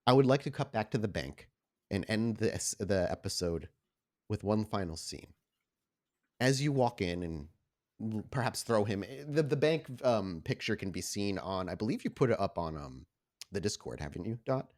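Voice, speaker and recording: A man's voice: lowish voice, lively intonation, moderate pace, darkish tone. A man narrating a tabletop roleplaying game as game master in a conversational, descriptive way.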